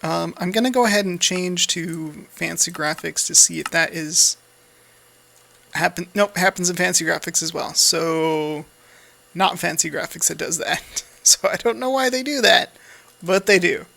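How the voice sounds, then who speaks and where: A man's voice: high-pitched, a little slow, creaky, bright, forceful tone. An American man giving casual, off-the-cuff gameplay commentary in an easygoing, rambling way. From United States. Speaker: Let's Play host.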